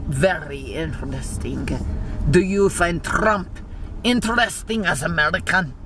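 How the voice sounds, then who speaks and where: A man's voice: a little high, measured pace, far from the mic, crowd noise. A man in casual, playful conversation, drawing out words while joking. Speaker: vlogger. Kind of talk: casual chat. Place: inside a moving car. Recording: car road noise.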